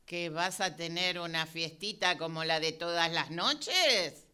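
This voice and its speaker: A woman's voice: fairly low pitch, measured pace, bright, forceful tone, clean tone. A woman with a Rioplatense accent playing a curious, suspicious neighbor in an amateur radio drama, muttering asides under her breath. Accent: Rioplatense Spanish. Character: curious neighbor woman Rosy, wary and nosy.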